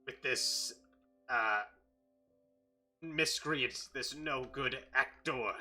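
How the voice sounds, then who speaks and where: A woman's voice: very deep voice, extremely slow, pushed and bright, faint music behind, full character voice. Woman playing a lovesick young man, melodramatic. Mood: theatrical. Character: young man lover, dramatic and torn.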